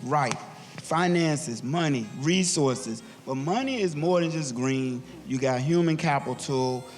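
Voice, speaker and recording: Male podcast host, animated, faint music underneath. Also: on the high side. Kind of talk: live panel moderation. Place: theatre stage.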